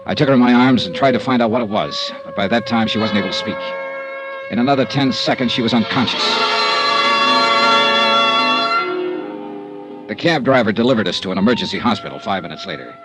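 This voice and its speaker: An actor playing an affable, protective investigator in a 1950s radio detective drama, talking in an easygoing, conversational way. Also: male voice, on the low side, animated, quick pace, loud music behind the voice, close to an everyday voice, poor audio. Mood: reflective narration. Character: affable, wisecracking freelance insurance investigator, protective of strangers. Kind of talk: 1950s radio detective drama acting with first-person narration.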